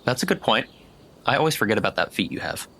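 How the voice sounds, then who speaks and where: A man's voice: fairly low pitch, expressive, quick pace, fairly bright tone, slightly rough. A man narrating a tabletop roleplaying game as its game master.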